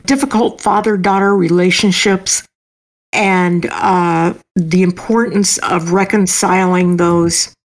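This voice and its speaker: A woman's voice: fairly low pitch, fairly even intonation, slow. A middle-aged woman speaking casually as an author being interviewed.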